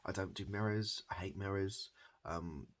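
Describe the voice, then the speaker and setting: A man improvising a softly spoken ASMR comedy roleplay as a hairdresser, rambling and repeating himself in a halting, deadpan way. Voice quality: gravelly, husky low voice.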